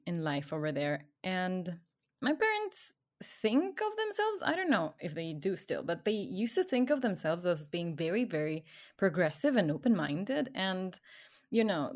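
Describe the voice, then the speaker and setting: A woman's voice: lowish voice, big pitch swings, normal speed. A woman from Ecuador, probably in her thirties or forties, talking casually and expressively in an informal conversation.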